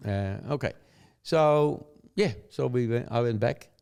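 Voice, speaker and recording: A man's voice: medium pitch, measured pace, vocal fry, darkish tone, clean tone. An older man from the Netherlands with a Dutch accent, telling stories in casual chat, chuckling often and rambling with tag questions. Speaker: guest.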